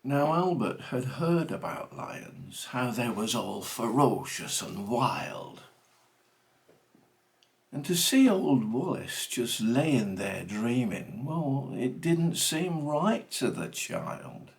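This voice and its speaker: A man theatrically reciting comic verse with dramatic, deliberate phrasing. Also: mid-range voice, big pitch swings, vocal fry. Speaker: reader of a comic monologue poem.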